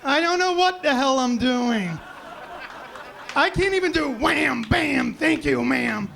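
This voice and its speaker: A young man with a high, raspy voice doing a self-deprecating deadpan stand-up set on a club stage mic. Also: takes it slowly, some chatter behind, a little hiss or hum. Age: young adult. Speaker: stand-up comedian. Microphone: handheld stage mic.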